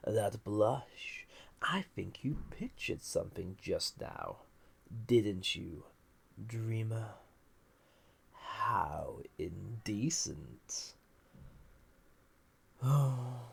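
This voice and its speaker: Guy doing a flirty scheming villain, magical captive, really slow n gravelly, breathy half whispers, roleplay audio. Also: lowish voice, exaggerated voice. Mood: flirty, teasing. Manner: teasing, theatrical, deliberate, often breathy half-whisper. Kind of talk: scripted roleplay audio acting.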